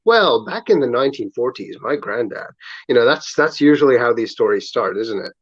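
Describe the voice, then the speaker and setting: A talkative man in his thirties with an international school English accent tells stories in a casual, wandering way, gently poking fun at himself. The recording is dry and slightly dull, with a middling pitch. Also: animated, fairly quick, breathy edge, dry recording. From Kuwait. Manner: talkative, self-deprecating, hesitant phrasing. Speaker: interview guest. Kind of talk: casual, rambling conversational storytelling.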